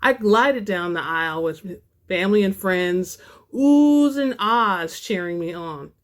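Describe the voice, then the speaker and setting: A woman's voice: mid-range voice, expressive, slow. A woman hosting a book channel, moving between casual chat to viewers and dramatic reading aloud.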